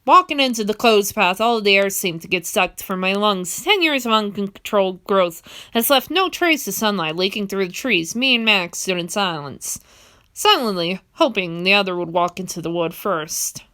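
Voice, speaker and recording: A young woman reading a fan comic dub in a steady first-person storytelling narration. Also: mid-range voice, normal speed, clear, resonant tone.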